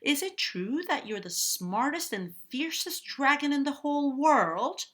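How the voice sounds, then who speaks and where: Woman as a bold, high-pitched storybook princess. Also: animated, a bit bright. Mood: insistent. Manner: exclaims in high, drawn-out, emphatic bursts. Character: bold, determined young storybook princess, loud and flattering.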